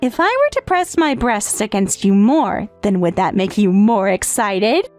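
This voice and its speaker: A woman's voice: mid-range voice, big pitch swings, unhurried, darkish tone. A woman playing a devoted, clingy young step-sister who teases coyly in an English-dubbed manga romantic comedy. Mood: coy, teasing. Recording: music behind.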